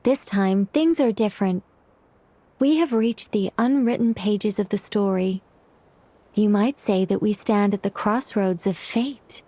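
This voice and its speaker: A woman's voice: medium pitch, unhurried, mellow, close to an everyday voice. A woman voicing a polite, formal angel in a video game, earnest and courteous. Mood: composed. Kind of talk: video game character acting.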